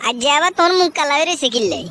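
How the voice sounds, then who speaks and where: A man voicing a long-suffering cartoon husband, an office worker with a Noakhali Bangladeshi accent, weary and exasperated, his voice pitched up. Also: animated, rapid-fire, bright, forceful tone, slight background noise, full character voice. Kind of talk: comedy cartoon dialogue.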